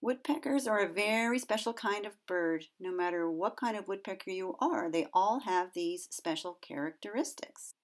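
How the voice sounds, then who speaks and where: A middle-aged woman narrates an educational piece for young children. She has a medium-pitched voice and explains things in simple, clear wording. Now and then she puts a question to her young listeners. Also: moderate pace, few pauses. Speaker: nature educator and presenter.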